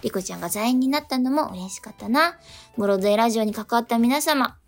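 A woman with a fairly high voice hosts a radio programme. She reads listener letters clearly and chats attentively with brief, agreeing replies. Music plays behind her. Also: expressive, quick pace. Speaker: radio show co-host. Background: background music.

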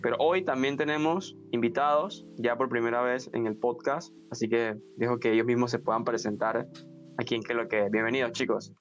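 A man's voice: medium pitch, fairly even intonation, fairly quick, faint music behind. A man in his twenties co-hosting a casual podcast chat with friends, recorded over a dull remote connection. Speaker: podcast co-host.

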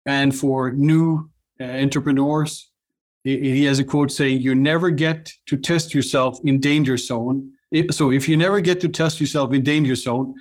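A middle-aged man from Norway with a Norwegian accent, a company cofounder chatting as an interview guest, often restarting and filling pauses with "uh". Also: mid-range voice, fairly even intonation. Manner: halting, many restarts and fillers. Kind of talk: casual interview chat.

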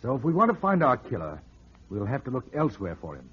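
An actor playing a shrewd, sardonic private detective in an old-time radio drama. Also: male voice, mid-range voice, huge pitch swings, fast, breathy edge. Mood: explaining, wry. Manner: sardonic, explaining, teasing. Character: wisecracking, shrewd male private detective, smooth with women. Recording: muffled vintage radio drama recording.

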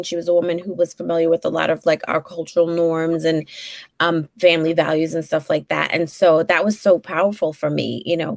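Woman, 40s, Brooklyn accent, lower voice, dry close sound; frank guest therapist. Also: darkish tone, dry recording. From Brooklyn, New York. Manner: frank and down-to-earth, many fillers and restarts. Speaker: licensed clinical social worker, guest. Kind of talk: casual interview conversation.

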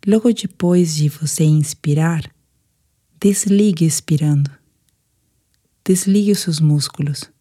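A Brazilian woman with a low, soft-edged, mellow voice leads a guided meditation for sleep. She speaks gently and without hurry, in the measured way of someone reading a relaxation script. Her delivery is soothing throughout, with a dark, relaxed tone.

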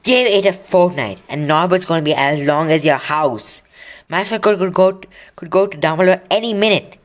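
A reader voicing an earnest schoolboy wizard who talks high and urgently, during a read-aloud of a children's novel. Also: moderate pace, lightly acted.

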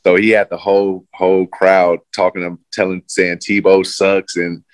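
A man with a very low voice talks about his football days in a loose, easygoing way. He leans on fillers as he goes. The recording is dry and close. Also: flat delivery, normal speed, no echo. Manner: laid-back, loose, many fillers. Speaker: former pro football player, guest. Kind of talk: casual interview chat.

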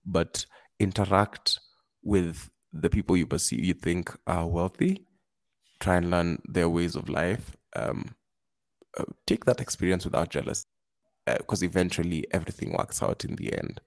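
A man speaking as a podcast guest, giving earnest advice in a halting way, with frequent restarts. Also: low-pitched, no echo. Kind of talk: casual advice talk.

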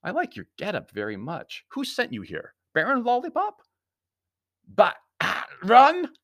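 Guy doing a cheeky gentleman hero from a storybook, sarcastic, mock polite, voice jumps up high and swoops. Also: huge pitch swings, moderate pace, no echo, clean studio sound, exaggerated voice. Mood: mocking, amused. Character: flippant, unflappable gentleman adventurer, drily mocking.

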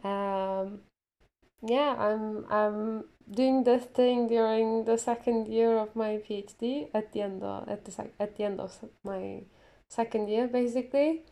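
A woman's voice: a little high, fairly even intonation, unhurried, dark tone. A young woman whose first language is not English, a player on a role-playing podcast, speaking hesitantly with many fillers and giggles. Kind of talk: casual chat.

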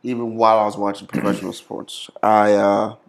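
Gravelly man hosting a laid-back chat. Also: fairly low pitch, little pitch movement, fairly quick. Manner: laid-back, short interjections and plain talk. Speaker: podcast host. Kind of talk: casual chat.

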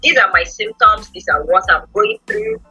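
A woman's voice: medium pitch, huge pitch swings, normal speed, bright, forceful tone, breathy edge, dull audio, soft background music. A woman, a podcast guest giving instructive advice and often checking with tag questions.

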